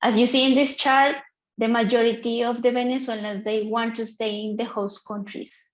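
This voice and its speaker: A woman from Colombia with a Colombian Spanish accent, a native Spanish speaker, presenting earnestly on a panel in halting English with restarts over a video call. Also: fairly high pitch, level tone, echoey room, low-quality recording. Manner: earnest, halting second-language phrasing with restarts.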